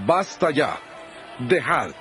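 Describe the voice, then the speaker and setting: A solemn holy teacher in an audio bible drama, deliberate delivery, music clearly behind him. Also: male voice, on the high side, very animated, a bit bright, full character voice. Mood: firm.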